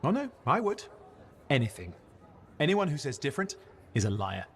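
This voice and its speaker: Young male game character, very animated intonation, cocky, playful, self-deprecating, glib. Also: medium pitch, moderate pace, a little hiss or hum. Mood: wry, intense. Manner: playful, glib, deflecting with jokes. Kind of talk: naturalistic video game acting.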